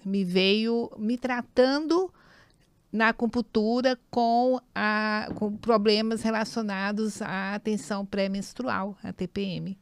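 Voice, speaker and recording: Brazilian woman late 50s, podcast guest, smooth clear voice, dry sound, telling her story casually. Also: medium pitch, steady tone, takes it slowly, no room sound. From São Paulo and Goiânia, Brazil. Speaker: podcast guest, nurse and acupuncturist. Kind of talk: conversational personal storytelling.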